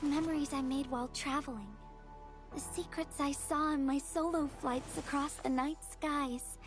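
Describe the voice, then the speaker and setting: A woman playing a gentle, wistful young courier in a video game, speaking softly and breathily with a tender, reflective manner. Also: high voice, fairly even intonation, normal speed, faint music behind, natural voice. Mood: warm, earnest. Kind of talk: video game story dialogue.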